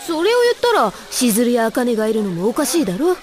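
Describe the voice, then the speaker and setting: Brash teen girl, sarcastic, high-pitched, lively anime drama delivery. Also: quick pace. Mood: snarky. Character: brash, snarky, bossy teenage girl. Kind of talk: anime audio drama acting.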